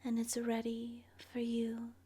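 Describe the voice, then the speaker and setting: A woman softly narrating an ASMR guided meditation in a breathy, whispery voice, gentle and soothing, leaving long pauses. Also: fairly high pitch, monotone.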